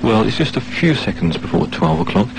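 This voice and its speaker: Low-voiced man presenting music radio. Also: big pitch swings, a little fast, vocal fry, background noise. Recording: 1980s off-air radio aircheck.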